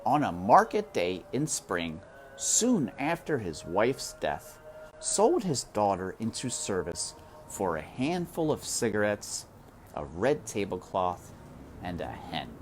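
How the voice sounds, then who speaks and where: Slow, expressive man reading aloud. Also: lowish voice, soft background music, rough recording. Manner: dramatic, addresses listener directly, slow and deliberate. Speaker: reader. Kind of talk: reading a children's novel aloud.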